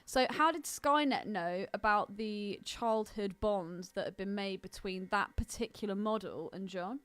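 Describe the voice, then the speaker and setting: A woman's voice: mid-range voice, unhurried, a bit bright, clear, resonant tone, no room sound. A British woman co-hosting a casual film podcast in a blunt, irreverent, sweary way. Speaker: podcast co-host.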